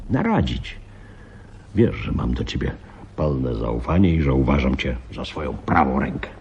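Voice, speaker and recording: Low, husky, nervous police chief. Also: male voice, darkish tone, exaggerated voice. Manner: hesitant, stammering, halting. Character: nervous police chief briefing his trusted deputy, growing frightened. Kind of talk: Polish radio play acting. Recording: dull, muffled radio drama recording.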